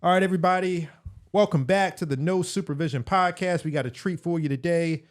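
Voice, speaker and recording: A man's voice: fairly high pitch, fairly quick. A man hosting a podcast, chatty and upbeat as he welcomes and questions a guest. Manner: upbeat and friendly when introducing, asks questions loosely. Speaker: podcast host. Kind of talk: casual interview talk.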